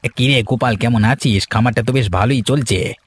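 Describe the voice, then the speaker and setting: A man's voice: mid-range voice, fairly quick, slightly rough, close to an everyday voice. A man voicing a scheming villager who poses as a helpful friend in a cartoon folk tale, with a sly, overfamiliar, wheedling manner. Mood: overfamiliar, prying. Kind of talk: cartoon fairy-tale dialogue.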